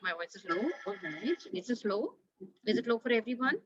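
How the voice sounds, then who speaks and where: A woman's voice: on the high side, sing-song, brisk, a bit bright, husky, no echo. A woman teacher, speaking through a headset in an online class and politely checking in with her students. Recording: lo-fi video call audio.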